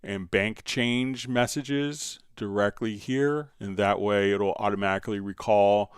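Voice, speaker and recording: Male livestream instructor, lowish clean voice, conversational step-by-step delivery, close dry sound. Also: fairly even intonation, a little slow, clear, resonant tone, dry recording. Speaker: livestream host and software instructor. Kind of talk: casual live tutorial, answering viewer questions.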